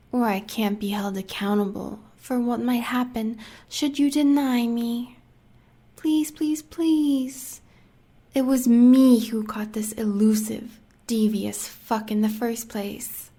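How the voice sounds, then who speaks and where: A woman's voice: a little high, mellow. A woman with an American accent playing a sadistic, seductive huntress in an audio roleplay, talking in a teasing half-whisper. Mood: pleading.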